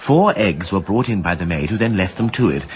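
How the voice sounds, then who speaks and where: An actor playing a well-bred, insistent amateur sleuth in a radio drama, with an upper-class English accent and a plummy, drily witty delivery. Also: male voice, sits low, animated, a little fast, lo-fi sound. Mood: measured recounting. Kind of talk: British radio drama acting.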